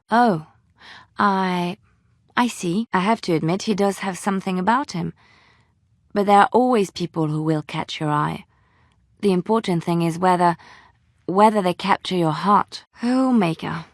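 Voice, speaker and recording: A woman's voice: mid-range voice, dry recording, clean studio sound. A woman playing an earnest, tender-hearted young adventurer in a fantasy video game, speaking hesitantly and sincerely. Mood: sheepish. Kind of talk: dramatic video game dialogue.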